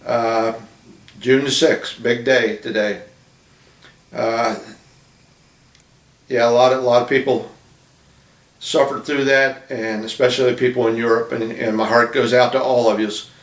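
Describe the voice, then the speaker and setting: A man who builds model ships, chatting casually to his viewers with lots of fillers and restarts, his voice going a bit hoarse. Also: medium pitch, little pitch movement, a little slow, long pauses, recorded from a distance. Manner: rambling, many fillers and restarts. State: losing his voice, coughing. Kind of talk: casual talk to camera. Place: small apartment room. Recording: echoey room from off-mic.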